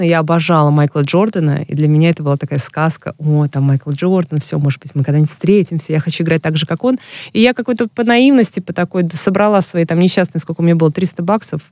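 A woman's voice: low voice, fairly even intonation, quick pace, darkish tone, no room sound, clean studio sound. A woman, a former professional basketball player, speaking as an interview guest and telling long, frank stories about her career. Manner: frank and reflective, storytelling at length. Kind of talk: casual interview talk.

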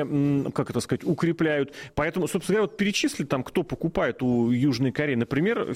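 Male co-host, casual discussion, a bit higher-pitched, searches for words mid-sentence. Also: darkish tone. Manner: thinks aloud with fillers and restarts. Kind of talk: casual talk show discussion.

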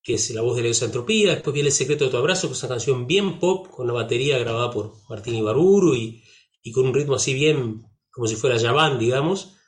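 A man's voice: medium pitch, fast. Rioplatense-accented man, musician guest, reflective, easygoing chat. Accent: Rioplatense Spanish. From Uruguay. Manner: reflective, uses many fillers and tag questions. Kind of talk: relaxed conversational interview.

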